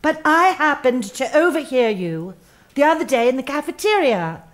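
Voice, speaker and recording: An actress playing an earnest, self-important HR manager in a satirical radio play, over-solicitous and gravely sanctimonious. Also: female voice, high-pitched, sing-song, normal speed. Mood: apologetic.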